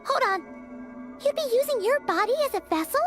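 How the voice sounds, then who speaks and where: Squeaky, lively little fairy companion. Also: female voice, brisk, music in the background. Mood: eager, insistent. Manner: perky, exclamatory. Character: small, high-pitched, childlike cheerful fairy companion. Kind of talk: English video game voice acting.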